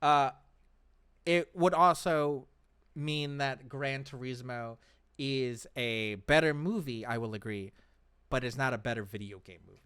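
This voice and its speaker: A man's voice: mid-range voice, unhurried, fairly bright tone, smooth, dry recording. Casual male podcast host, dry close sound. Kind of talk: casual group discussion, hosting.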